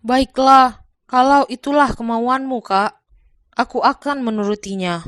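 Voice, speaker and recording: A humble younger brother in a cartoon folktale acted by a woman. The voice sits high, with a dutiful, respectful and earnest way of speaking. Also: unhurried, a bit bright, no echo, studio quality. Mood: reluctant, resigned. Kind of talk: cartoon folktale character acting.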